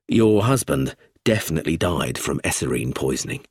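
A man voicing an abrupt, measured police chief inspector in an audiobook. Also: rumbling low, expressive, slightly rough, dry recording, studio quality, pitched below the performer's own voice, heavily acted. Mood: calm. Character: calm, brisk male police chief inspector.

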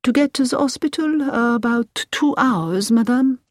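An eager, polite male driver from a storybook, as a woman reader puts him on. He speaks with proud, emphatic enthusiasm. The pitch is lifted and the sound is dry. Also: normal speed, dry recording, natural voice. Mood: enthusiastic.

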